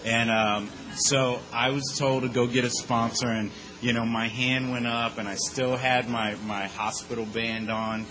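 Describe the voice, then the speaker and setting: A man telling a humorous personal story to a group in a lively, conversational way, with quick asides. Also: medium pitch, noisy background. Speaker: speaker sharing his recovery story.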